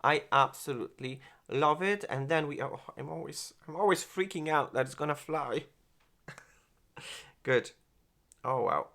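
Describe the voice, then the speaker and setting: A man in his forties, a beauty vlogger reviewing makeup, who gushes and exclaims often and speaks in halting phrases with many restarts. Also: fairly high pitch, gravelly, bright, forceful tone. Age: 40s. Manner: gushing, exclaims and laughs often. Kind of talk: product review and makeup tutorial.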